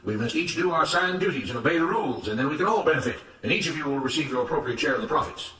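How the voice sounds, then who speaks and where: A man voicing a smooth-talking, self-righteous plantation overseer in a storybook, speaking in a declamatory, emphatic way. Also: fairly high pitch, expressive, racing, bright, forceful tone, roomy sound, far from the mic, voice pushed up, poor audio. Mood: earnest, preachy. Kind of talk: dramatised storybook narration.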